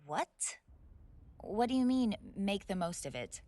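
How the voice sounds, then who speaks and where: A woman's voice: mid-range voice, sing-song, a little slow, dark tone. A woman playing a spoiled rich college bully in a roleplay audio drama, indignant, snobbish and sweary, stammering when flustered. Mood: outraged. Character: haughty, spoiled rich college girl bully, hot-tempered tsundere. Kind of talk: scripted roleplay audio drama, one side of a dialogue.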